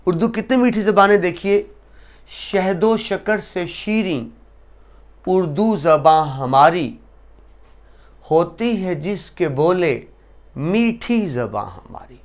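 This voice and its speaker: A man giving a lesson to students, explaining essay writing and reciting couplets slowly with emphasis. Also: high voice, expressive. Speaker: teacher.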